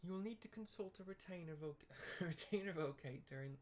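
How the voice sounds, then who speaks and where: A man's voice: high-pitched, a little fast, pitched above the performer's own voice, close to an everyday voice. A man voicing a formal, courtly young lady leader from a video game in an attempted British accent, now and then stumbling over the words. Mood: formal, gracious. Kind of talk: amateur read-aloud of game dialogue. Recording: clearly audible background noise.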